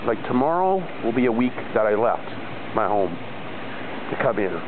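A man's voice: mid-range voice, very animated, moderate pace. A middle-aged man chatting casually by an outdoor resort pool, filming himself on a phone held at arm's length, rambling and repeating himself with many fillers. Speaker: travel vlogger. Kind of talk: casual rambling vlog monologue. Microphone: phone camera held low at arm's length. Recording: tinny phone audio, outdoor noise.

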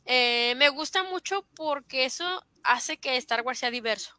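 A woman's voice: high voice, animated, unhurried, bright, forceful tone, no echo, clean studio sound. A woman with a Mexican Spanish accent co-hosting a fan podcast over a video call, talking enthusiastically with emphatic agreement. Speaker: podcast co-host.

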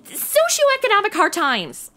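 Woman acting as snarky twenty-something American detective sidekick, lively swooping intonation, comedic radio play, brash and casual. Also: very high voice, a little slow, slight background noise, heavily acted. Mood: indignant, sarcastic. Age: 20s. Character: brash, sarcastic modern young woman, reluctant detective sidekick. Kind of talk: comedic audio drama acting.

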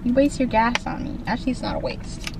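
Sarcastic girl riding shotgun, highish lively voice, kinda distant, car noise loud. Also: a little fast. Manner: sarcastic, dramatic, sings along. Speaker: friend in passenger seat. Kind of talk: casual chat. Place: passenger seat of a car. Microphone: dashboard camera.

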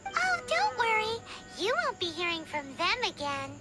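A woman voicing a small, chatty, bossy fairy girl with a squeaky childlike voice in a video game. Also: wildly swooping pitch, moderate pace, over loud music, heavily acted. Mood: confident. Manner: speaks of herself in third person.

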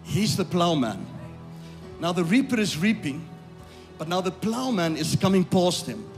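A male pastor with a high voice preaches live from a church stage mic, softly backed by faint music. He moves from quiet asides to loud shouted peaks, repeating his phrases and checking that the crowd follows. His voice is going hoarse from the strain. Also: very animated, measured pace. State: losing his voice. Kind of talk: live sermon to a congregation.

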